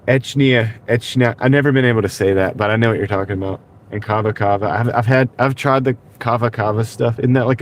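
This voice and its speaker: A man with a congested, slightly rough voice, chatting casually with his viewers during a livestream and chuckling as he reads their comments. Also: fairly low pitch, a little hiss or hum. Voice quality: nasal from congestion. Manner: rambling, reads chat aloud, chuckles often. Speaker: livestream host. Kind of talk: casual live stream chat with viewers. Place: in his car at night. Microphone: wireless clip-on mic.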